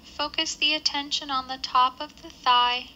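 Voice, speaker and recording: A woman leading a guided body scan meditation with measured, gentle instructions and pauses between phrases. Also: sits high, fairly even intonation, a little hiss or hum, low-quality recording.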